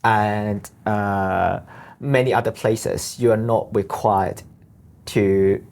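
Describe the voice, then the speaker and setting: A man from with a deep voice, a guest in an interview who explains things earnestly and often restarts his sentences. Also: steady tone, slow, creaky, darkish tone. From Hong Kong. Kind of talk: conversational interview.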